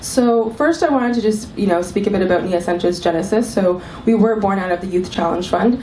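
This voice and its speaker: A woman's voice: medium pitch, a little fast, mellow. A woman with a cold, speaking as a panelist at a live arts event, thoughtful and full of ums and restarts. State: has a cold. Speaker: panelist, arts executive director. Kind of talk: panel talk to a live audience. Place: club event room. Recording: echoey room, mic from far off, faint hiss.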